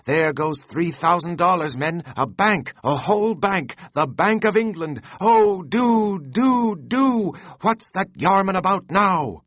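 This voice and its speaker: A man voicing an excitable young male whaling mate who pleads shrilly with his crew. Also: sits high, slow, few pauses, no room sound, studio quality, pitched above the performer's own voice, exaggerated voice. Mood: frantic.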